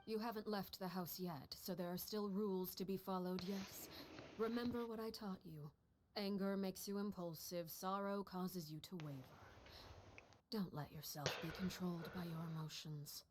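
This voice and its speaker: A woman voicing a cold, commanding head of a household called Father, speaking in a calm, measured way with hushed, grave whispers in a game cutscene. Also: on the low side, slight background noise, close to an everyday voice. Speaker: stern head of an orphan household. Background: game music score.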